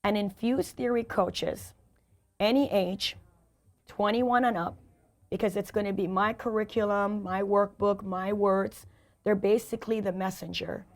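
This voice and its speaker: A woman with a hoarse voice, a sales and leadership coach answering interview questions in an emphatic, motivational way, often repeating key phrases. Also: medium pitch, fairly even intonation, measured pace.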